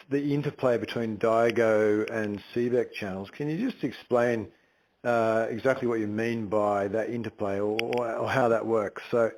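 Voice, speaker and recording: A man's voice: lowish voice, a little mellow, low-quality recording. A man speaking as a financial analyst on an earnings call, asking probing questions informally and rephrasing as he goes.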